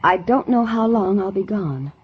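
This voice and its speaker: A woman's voice: mid-range voice, lively intonation, normal speed, husky. An actress playing an earnest, ambitious young country woman in a 1940s radio drama, speaking in a dramatic, wistful and emphatic way. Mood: defiant, insistent. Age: young adult. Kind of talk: 1940s radio drama acting and first-person narration. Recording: muffled old 1940s radio recording.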